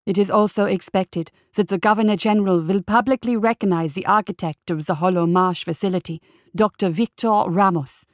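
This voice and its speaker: A woman's voice: mid-range voice, a little fast, no room sound, clean studio sound, natural voice. A woman voicing a male radio reporter giving formal, genteel live commentary on a society event.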